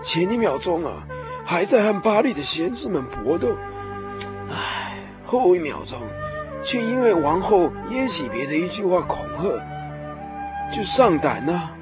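Radio drama lead: despairing, fervent Old Testament prophet, high voice, animated swings, music underneath. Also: male voice, unhurried. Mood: self-reproaching. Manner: impassioned, sighing, dramatic. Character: weary, despairing male prophet of ancient Israel, fervent. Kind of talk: Mandarin radio drama acting.

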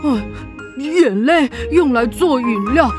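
A woman's voice: sits high, lively intonation, slow, music in the background. An actress playing a grumpy, flustered crybaby witch with a secretly kind heart in a children's audio drama, stammering and huffy.

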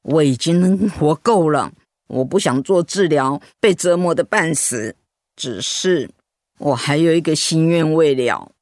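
A woman's voice: low voice, a little slow, a little mellow, no echo, clean studio sound. An actress playing a stubborn woman of eighty in a radio drama, plaintive and insistent. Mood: resigned, wistful. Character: stubborn eighty-year-old mother refusing treatment. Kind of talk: Mandarin radio drama acting.

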